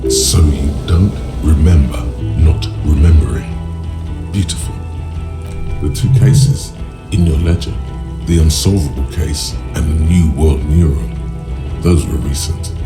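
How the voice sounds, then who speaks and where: An actor playing a grave, wry police officer with terse, dry, deliberate delivery in video game dialogue, over loud music. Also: male voice, very deep voice.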